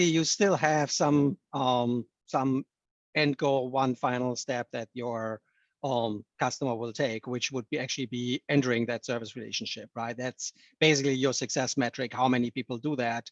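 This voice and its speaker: A man's voice: mid-range voice, fairly even intonation, normal speed, dry recording, muffled recording. A man answering questions on a webinar panel, hesitant, with many ums and restarts.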